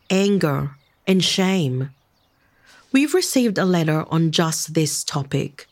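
A woman hosting a podcast, moving between scripted narration and interview questions in a calm, measured, earnest way. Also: fairly low pitch. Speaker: podcast host.

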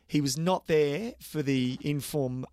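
A man's voice: fairly high pitch, takes it slowly, mellow, no room sound, studio quality. A man with an Australian accent, co-hosting a casual cycling chat, enthusiastic and upbeat, often repeating words as he thinks. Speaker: co-host; cycling commentator and former racer.

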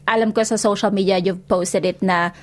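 A woman's voice: mid-range voice, steady tone, brisk. A woman host interviewing a mother and daughter, switching freely between Tagalog and English with short encouraging murmurs. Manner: mixes Tagalog and English, friendly prompting. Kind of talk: casual bilingual interview.

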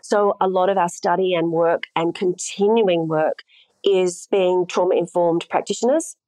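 A woman, an intimacy coordinator explaining her work in a measured, thoughtful way and often posing questions to herself. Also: fairly low pitch, steady tone, dark tone, no echo, rough recording. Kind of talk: conversational interview answers.

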